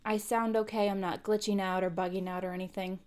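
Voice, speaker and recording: A woman with a medium-pitched voice hosts an informal read-aloud stream. She speaks conversationally, in the manner of someone sharing a book with a small online group. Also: level tone, brisk, a little mellow. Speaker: host reading a book aloud on a live stream. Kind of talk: informal livestream chat and audiobook-style narration.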